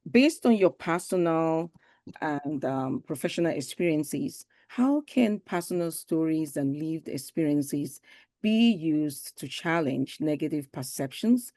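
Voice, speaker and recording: A woman's voice: sits low, animated, a little slow, darkish tone, no room sound, clean studio sound. A woman moderating a conference session, introducing the guest formally and welcoming her, with "um" fillers.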